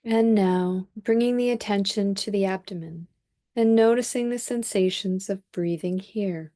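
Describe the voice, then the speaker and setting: A woman leading a guided body scan meditation as a teacher, speaking calmly and in a measured way with long pauses. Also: mid-range voice.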